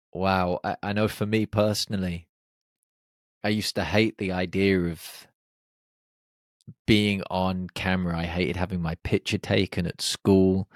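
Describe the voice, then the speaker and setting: A man hosting his own podcast, talking alone in a lively, animated way with plenty of exclamations. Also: low-pitched, no echo, studio quality. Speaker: podcast host. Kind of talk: solo podcast monologue. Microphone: podcast microphone.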